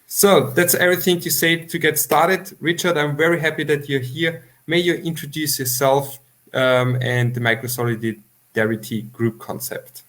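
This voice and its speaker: A man who speaks English as a second language, hosting an online interview with a halting, slightly unidiomatic way of talking. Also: on the high side, a bit bright. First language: not English. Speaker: interview host. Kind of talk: livestream interview introduction.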